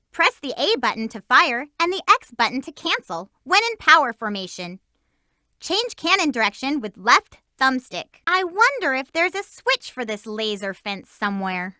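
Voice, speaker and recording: A bright, chirpy girl hedgehog. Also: high voice, no echo, clean studio sound, full character voice. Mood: eager. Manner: upbeat, chirpy, emphatic. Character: peppy teen girl hedgehog, spirited and bossy. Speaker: video game character. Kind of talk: game voice lines and tutorial hints.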